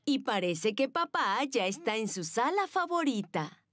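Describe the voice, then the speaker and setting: A woman's voice: on the high side, sing-song, unhurried, fairly bright tone, dry recording, clean studio sound. A woman voicing a caring, patient mother pig in a children's cartoon. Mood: amused.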